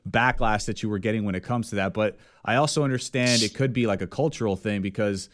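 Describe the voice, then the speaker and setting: A man co-hosting a podcast, asking questions in a relaxed, conversational way. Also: on the low side, quick pace. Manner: relaxed, conversational, easygoing asides. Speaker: podcast co-host. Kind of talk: casual interview chat.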